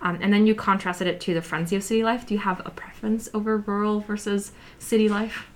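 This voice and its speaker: A young woman hosting a student interview podcast, giving friendly praise and asking measured questions. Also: medium pitch, flat delivery. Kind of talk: interview questions, partly read.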